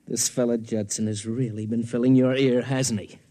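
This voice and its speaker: An actor playing a cynical, selfish, restless lover in a vintage radio drama, offhand and curt. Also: male voice, mid-range voice, steady tone, normal speed, dark tone, natural voice. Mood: scornful.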